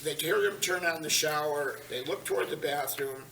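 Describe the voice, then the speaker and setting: Guy reading stage directions, bright voice, echoey room, bit far from the mic. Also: fairly high pitch, moderate pace, slight background noise. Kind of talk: scripted narration at a table read. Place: large carpeted meeting room.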